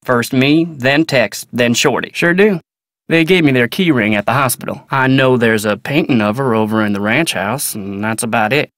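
A man's voice: mid-range voice, moderate pace, fairly bright tone, dry recording, clean studio sound, lightly acted. An actor playing a guarded, polite American ranch foreman in a video game, folksy, clipped and matter-of-fact. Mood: helpful, matter-of-fact. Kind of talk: naturalistic video game character dialogue.

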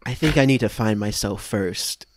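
A man's voice: fairly low pitch, moderate pace, a little hiss or hum, pitched below the performer's own voice, lightly acted. A man playing an earnest teenage girl who sounds sincere and a little hesitant, in improvised comedy. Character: earnest teenage girl wanting to find herself.

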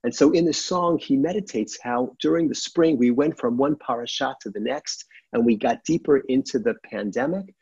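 A middle-aged man, a rabbi, giving a measured, earnest sermon from a sunlit room at home over a laptop webcam. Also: mid-range voice, darkish tone, slightly rough, dry recording. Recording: dull, dry webcam audio.

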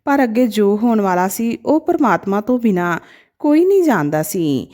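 A woman narrating a scripted emotional moral story as a storyteller, with an expressive, dramatic delivery. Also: mid-range voice, moderate pace.

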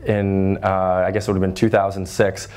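A man in his twenties from Northern Ontario with a loud voice, giving an earnest talk to a high school class with lots of fillers and restarts. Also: monotone, normal speed. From Northern Ontario, Canada. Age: 20s. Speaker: guest speaker. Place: high school classroom with chalkboards, audience seated.

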